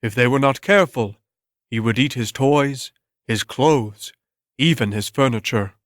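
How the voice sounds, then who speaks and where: A man reads a story as an audiobook narrator, with a measured and deliberate delivery. His voice sits at a medium pitch. The recording is close and dry. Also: dry recording. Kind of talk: scripted dramatic story narration.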